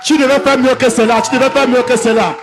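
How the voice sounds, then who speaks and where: A male pastor with a very high-pitched voice leads a testimony session. He exhorts in a commanding way and repeats short phrases insistently, with clear music playing behind him. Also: steady tone, fast talker.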